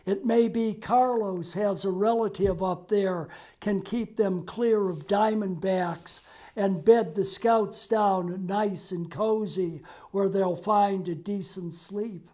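A man reading the part of a wry, steady old grandfather, in slow, measured blank verse. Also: high voice. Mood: dry, wry.

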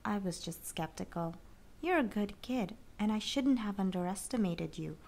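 A woman's voice: mid-range voice, animated, moderate pace, vocal fry, darkish tone. A woman playing a tired, firm but fair school principal in an amateur comic dub. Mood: apologetic. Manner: sighing, firm.

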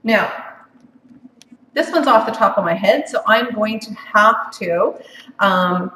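A woman's voice: medium pitch, takes it slowly, pushed and bright. Lady demoing crock pot recipes, lots of ums, roomy echo, faint hum. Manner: chatty, many ums and restarts. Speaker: cooking demonstrator. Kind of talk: live cooking demonstration. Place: home office kitchen. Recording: echoey room, from far off.

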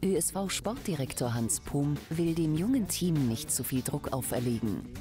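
A woman reading a scripted news voice-over in a smooth, measured way. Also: deep voice, lively intonation, over music. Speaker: news narrator.

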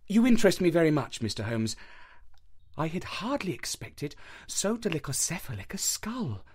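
A man voicing an eager, bumbling young country doctor who talks in an effusive, fussy way and stumbles over his words, with a higher voice than the narration. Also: breathy edge.

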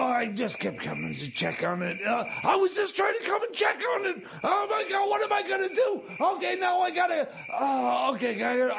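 An actor playing an excitable, bumbling scientist in radio comedy, stammering and frantically repeating himself. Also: male voice, extremely high pitch, creaky, a little hiss or hum, exaggerated voice. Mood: panicked. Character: excitable, bumbling self-styled mad scientist time traveller.

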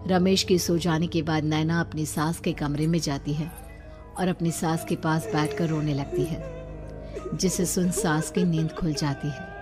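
A woman giving scripted narration for an animated horror story, with music underneath. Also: low-pitched.

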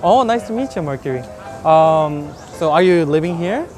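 A man's voice: a little high, lively intonation, normal speed, a little mellow, soft background music. A young man from South Korea with a Korean accent, chatting and interviewing people in a city park, with many fillers and laughs. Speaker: street interviewer. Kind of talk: street interview vlog. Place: busy city park outdoors.

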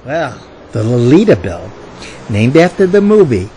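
A man's voice: mid-range voice, very animated, unhurried, dark tone, slight background noise, rough recording. An actor playing a self-satisfied man in his fifties, earnestly defending a cause. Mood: pleased, earnest. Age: 50s. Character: self-satisfied fifty-two-year-old male zealot for a cause.

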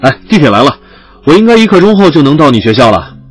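An actor playing a calm, teasing young doctor in love in an audio drama, speaking in a dry, understated way. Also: male voice, on the high side, quick pace, breathy edge.

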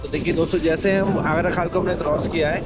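A man's voice: fairly high pitch, steady tone, quick pace. A man giving casual travel vlog commentary, describing his surroundings to viewers as he rides. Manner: narrates surroundings while riding, addressing viewers. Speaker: travel motovlogger. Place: outdoors on a motorcycle on mountain roads. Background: music and vehicle sound.

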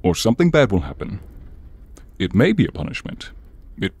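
A man playing a grumpy, exasperated demon who punishes people, curt and gruff, in an ASMR comedy roleplay. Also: on the low side, sing-song, moderate pace, a little mellow. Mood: stern. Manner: gruff, curt, long-suffering.

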